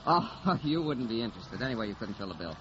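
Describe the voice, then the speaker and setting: An actor playing a brusque, wisecracking American talent agent in an old radio drama, blunt, slangy and exasperated. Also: male voice, lowish voice, sing-song, fast talker. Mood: dismissive. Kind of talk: 1940s radio drama acting. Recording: muffled noisy old radio broadcast.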